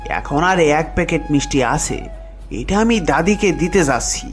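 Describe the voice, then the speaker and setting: Guy doing devoted village husband, highish voice, swings a lot, earnest, audio drama. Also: expressive, unhurried, soft background music. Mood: happy, excited. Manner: earnest, emphatic. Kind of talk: audio story drama acting.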